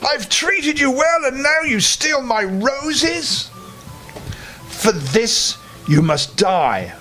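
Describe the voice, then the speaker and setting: A man voicing an angry beast in a children's fairy tale, with slow, drawn-out, menacing words. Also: very high voice, big pitch swings, sharp tone, faint music behind, pitched above the performer's own voice, full character voice. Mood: roaring, outraged. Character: huge angry roaring male beast, later sorrowful.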